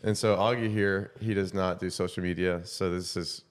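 A young man with a deep voice hosts a relaxed podcast chat. He talks into a handheld mic in an easygoing, upbeat way, tossing in quick asides and agreeing with his guests. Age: young adult. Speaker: podcast co-host. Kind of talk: casual podcast hosting. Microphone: handheld mic with headphones.

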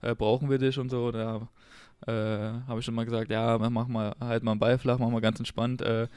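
A man's voice: medium pitch, flat delivery, moderate pace, a little mellow, smooth, no room sound. A man from Berlin chatting casually and informally as a guest in an interview. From Berlin, Germany. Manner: informal, colloquial German. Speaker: podcast guest, former footballer.

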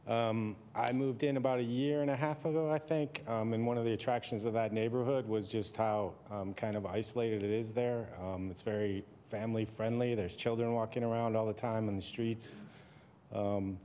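A man, a local resident, speaks during a public hearing at a council meeting, halting with many fillers. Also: lowish voice, steady tone, warm tone. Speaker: resident giving public comment. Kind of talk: public comment. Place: council chamber.

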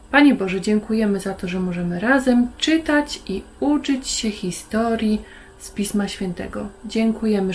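A woman's voice: medium pitch, darkish tone, a little hiss or hum. A woman speaking to children in a devotional style, hosting a Bible programme and leading prayer.

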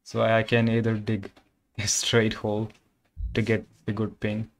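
A man's voice: on the low side, monotone, a little slow. A young man from Kerala with an Indian accent and Malayalam as his first language, chatting casually with viewers on a game stream, full of fillers and loose phrasing. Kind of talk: casual live-stream chat. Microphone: condenser microphone.

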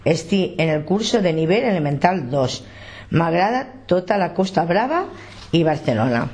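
A woman from Sabadell introducing herself as a Catalan course student, hesitant with many pauses. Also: lowish voice, sing-song, darkish tone. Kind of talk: reading a short self-introduction.

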